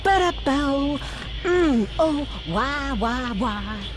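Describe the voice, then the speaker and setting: Video game crooner: high, drawn-out bluesy scat, carefree, over clear music. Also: female voice, lively intonation, exaggerated voice. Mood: crooning. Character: carefree woman singing bluesy scat to herself.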